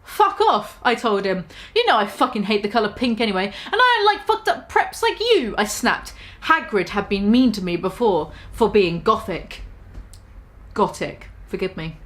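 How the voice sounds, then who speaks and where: A young woman giving a mock-dramatic comic reading, deadpan with swearing that breaks into giggles. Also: mid-range voice, moderate pace. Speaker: comedic reader.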